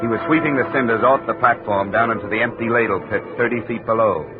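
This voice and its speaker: An actor narrating and playing a wry, tough insurance investigator in a 1950s radio drama. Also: male voice, mid-range voice, fast, music in the background, close to an everyday voice. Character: hard-boiled male insurance investigator. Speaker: narrator and lead. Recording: dull 1950 radio broadcast audio.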